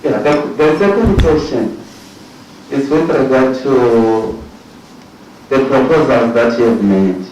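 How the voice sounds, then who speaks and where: A South African man on a commission panel, asking questions at a formal hearing in a halting way and repeating words. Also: medium pitch, a little mellow, soft background music. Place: large hearing room. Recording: echoey hall from far off, faint noise.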